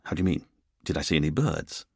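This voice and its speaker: Man playing a stiff, flustered, husky police chief. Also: deep voice, lively intonation, fast talker, dry recording, clean studio sound, exaggerated voice. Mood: stiff, puzzled. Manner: blustering, stammering, indignant.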